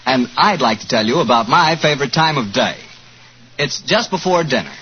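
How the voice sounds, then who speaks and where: Bright, lively 1940s radio announcer man. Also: medium pitch, normal speed, slight background noise. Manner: polished, upbeat announcer delivery. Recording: muffled old radio broadcast under music.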